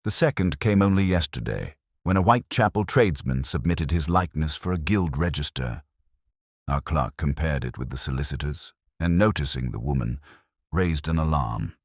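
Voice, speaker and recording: Male narrator playing blunt, sceptical police inspector: very low, formal, official, cautious; storybook detective tale. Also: lively intonation, moderate pace, no room sound, clean studio sound, heavily acted. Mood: official, factual. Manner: formal, cautious, matter-of-fact. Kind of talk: audiobook detective story dialogue.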